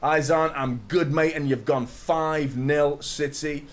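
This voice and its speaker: A man in his thirties with a slightly hoarse voice, hosting a live football fan stream, chatting to viewers and ranting with strong opinions. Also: a little high, steady tone, slow. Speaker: host of a football fan watchalong. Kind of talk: live stream chat and commentary.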